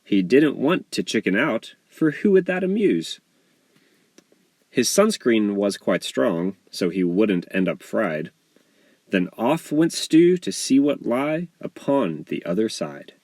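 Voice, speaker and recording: A man reading a rhyming children's story aloud as its author, in an expressive, measured storytelling delivery. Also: lowish voice, dry recording. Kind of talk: scripted storybook narration in rhyme.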